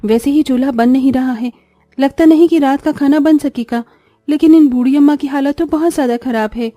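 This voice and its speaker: A woman's voice: high voice, brisk, dark tone, no room sound, close to an everyday voice. A woman playing a poor, self-sacrificing young mother in a cartoon story, earnest and plaintive. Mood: torn, worried. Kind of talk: Hindi cartoon story voice acting.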